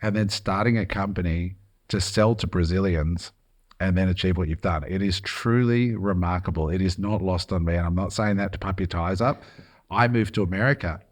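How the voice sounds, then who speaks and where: A man's voice: low voice, normal speed. An Australian man hosting an interview podcast, enthusiastic and affirming, a bit sniffly from hay fever. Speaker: podcast host. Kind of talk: interview conversation.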